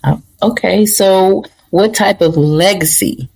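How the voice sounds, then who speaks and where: A woman's voice: mid-range voice, slow, creaky, darkish tone, poor audio. A woman hosting an interview, asking questions and encouraging the guest with frequent affirmations. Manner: encouraging, lots of affirming backchannel. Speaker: interview host.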